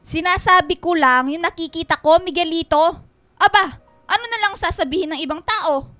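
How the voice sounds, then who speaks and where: A woman's voice: extremely high pitch, normal speed, pushed and bright, faint music behind. A woman playing a proud, scolding older Filipino aunt in a radio drama, nagging with reproachful emphasis. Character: scolding, proud older aunt who raised her nephew.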